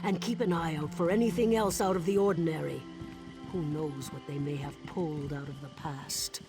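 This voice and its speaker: Wary, serious fantasy commander. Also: female voice, deep voice, fairly even intonation, moderate pace, loud music behind the voice. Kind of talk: video game character acting.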